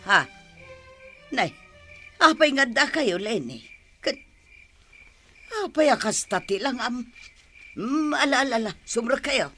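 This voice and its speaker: A woman playing an old grandmother in an Ilocano radio drama, halting and questioning, with drawn-out interjections. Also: on the high side, sing-song, sharp tone, faint music behind, heavily acted. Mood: puzzled, hesitant.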